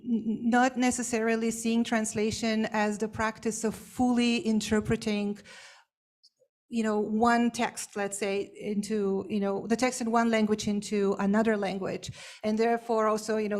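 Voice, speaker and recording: A woman whose first language is Bulgarian, answering a question as a symposium panelist in a hesitant, explanatory way with many fillers. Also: a little high. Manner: explanatory and academic.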